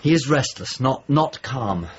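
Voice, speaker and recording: An actor playing a worried, loyal friend and weary traveller in a period radio drama, speaking haltingly and thoughtfully. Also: male voice, mid-range voice, expressive, natural voice. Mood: grave, concerned. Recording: dull lo-fi audio with clear noise.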